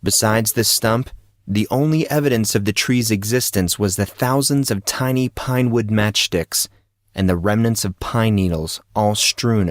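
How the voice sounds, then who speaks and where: A man narrates fantasy fiction with a deliberate, suspenseful delivery. His voice sits fairly low. The recording is close and very dry, like a dramatic audiobook. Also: no room sound.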